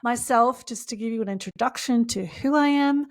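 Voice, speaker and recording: A woman's voice: on the high side, moderate pace. A middle-aged woman with an Australian accent, co-hosting a podcast in an earnest coaching manner. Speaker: podcast co-host. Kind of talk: casual podcast chat.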